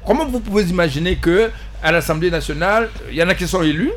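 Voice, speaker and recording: High-voiced man, halting and emphatic. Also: lively intonation, a little hiss or hum. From Central African Republic. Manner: halting, repeats words, emphatic, chuckles. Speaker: politician guest, party president. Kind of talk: panel talk show debate.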